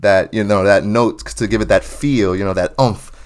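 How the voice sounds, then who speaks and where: A young man, a singer, chatting casually in an interview, relaxed and full of "you know" fillers, laughing often. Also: lowish voice, normal speed. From New Jersey or New York area. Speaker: guest singer.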